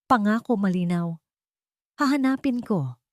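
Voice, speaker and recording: A woman's voice: medium pitch, expressive, unhurried, dry recording, clean studio sound, voice pushed up, lightly acted. A woman narrator voicing an anxious, determined young bride in an audio story, earnest and guarded. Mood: solemn.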